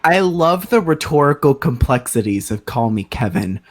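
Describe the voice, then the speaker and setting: A man's voice: medium pitch, sing-song, normal speed. A man bantering with friends in an absurd, mock-serious, pompous rambling manner.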